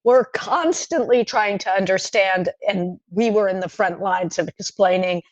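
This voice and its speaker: A middle-aged woman whose first language is French, recalling her childhood in a podcast interview, talking in a thoughtful, halting way with many fillers and restarts. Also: medium pitch, no room sound, muffled recording. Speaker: playwright guest.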